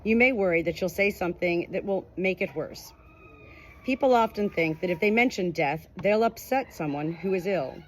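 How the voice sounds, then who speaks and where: A woman's voice: fairly low pitch, expressive, normal speed. A woman reading a nonfiction book aloud as scripted audiobook narration. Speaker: audiobook reader.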